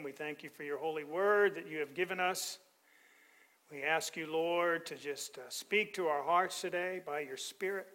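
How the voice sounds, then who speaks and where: A middle-aged male pastor preaching a sermon, animated and joking with the congregation. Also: fairly high pitch.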